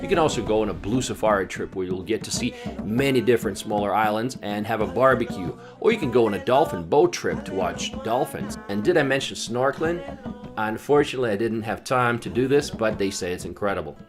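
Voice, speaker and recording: Travel vlogger narrator, lowish male voice, easygoing read over a music bed. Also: lively intonation, a little fast.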